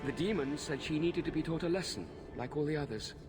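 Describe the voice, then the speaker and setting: A man playing a tormented, pitiful killer in a video game, halting and anguished as he pleads. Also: on the high side, over music, close to an everyday voice. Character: tormented, self-loathing male killer, magistrate's son, pitiful. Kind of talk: video game dramatic acting.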